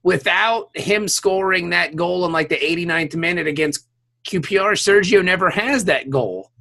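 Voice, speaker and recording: An American man chatting casually about sports as a podcast co-host, animated and slangy with plenty of swearing. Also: sits high, moderate pace, gravelly.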